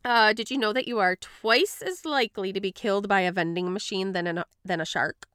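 A woman's voice: medium pitch, brisk, a bit bright, clear, resonant tone, no room sound, studio quality. A woman podcast co-host from Michigan with a Western Michigan accent that has a touch of the Upper Peninsula, chatting casually, swearing freely and laughing often. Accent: Western Michigan, Upper Peninsula tinged.

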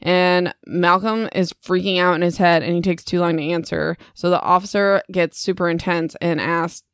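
A woman's voice: on the low side, fairly even intonation, normal speed, smooth, dry recording, studio quality. A young adult woman hosting a podcast, recapping a novel in a chatty, rambling way with self-deprecating asides. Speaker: podcast host. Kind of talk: casual book recap podcast.

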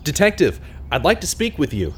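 A man playing an earnest radio host turned true-crime detective, narrating a scripted audio drama in a measured, dramatic way. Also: fairly high pitch, wildly swooping pitch, a little hiss or hum. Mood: earnest, pressing.

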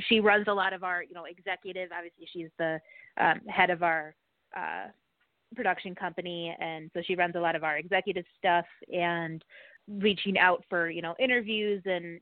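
A middle-aged woman talks casually as an interview guest, her voice a little on the low side. The sound is dry and close, with the thin, muffled band of a call. She comes across as a podcaster describing her work. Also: no room sound. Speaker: podcast co-host and nursing teacher, interview guest.

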